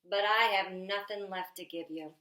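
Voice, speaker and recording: A woman voicing a loving, generous female apple tree from a children's storybook, gentle and eager to give. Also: medium pitch, steady tone, normal speed, close to an everyday voice. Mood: sad, apologetic. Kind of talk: read-aloud children's storybook. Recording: roomy, echoey home-style recording.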